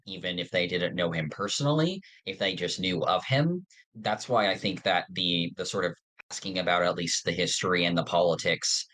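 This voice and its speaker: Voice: low-pitched, fairly bright tone, low-quality recording. A podcast co-host in casual fan discussion, rambling along with slang and the odd mild swear.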